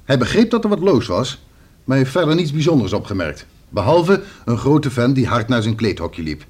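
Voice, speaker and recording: A talkative male police officer in a radio play. His medium-pitched voice is very animated and swings widely. He reports matter-of-factly, a little on the defensive. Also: normal speed, husky. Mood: matter-of-fact reporting. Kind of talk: Dutch radio play acting.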